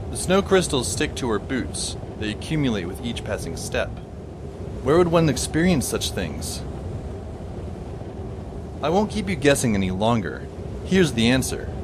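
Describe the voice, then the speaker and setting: A man's voice: mid-range voice, noisy background, natural voice. A man playing a wry, sardonic teenage boy narrating a fan audio drama with dry, sarcastic asides.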